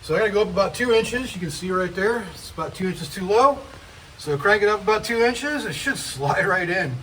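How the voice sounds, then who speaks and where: Guy wrenching on an old truck in his metal shop, talks you through it with lots of uh's, kinda high voice, rain hammering the roof. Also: animated, fairly quick, a little hiss or hum, low-quality recording. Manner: explains each repair step as he works, with many fillers; speaks up loudly over the rain. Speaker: mechanic and channel host. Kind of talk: casual garage how-to narration.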